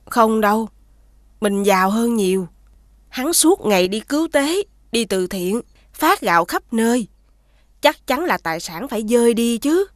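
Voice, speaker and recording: An actress with a southern Vietnamese accent playing a rich man's discontented, boastful wife in an animated folktale, complaining and self-important. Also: fairly high pitch, unhurried, dry recording, clean studio sound. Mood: smug. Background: prominent music.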